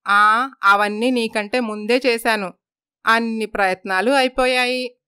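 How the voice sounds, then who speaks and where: A woman's voice: fairly high pitch, fairly even intonation, unhurried, pushed and bright, clear, resonant tone, dry recording, studio quality. A woman voicing a worried, stern older mother-in-law in a moral story. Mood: impatient.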